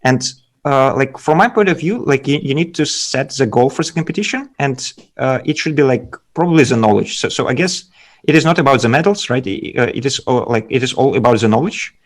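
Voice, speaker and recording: A man with a Belarusian accent giving technical explanations as a guest speaker, haltingly, often repeating words. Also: mid-range voice, animated. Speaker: guest speaker, data scientist. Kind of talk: technical presentation and interview answers.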